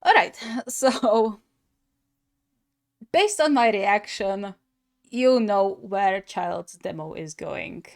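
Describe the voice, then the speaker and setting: A woman's voice: mid-range voice, animated, drawn-out words, vocal fry, pauses often. A woman hosting a gaming reaction video with casual, playful, musing commentary. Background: music.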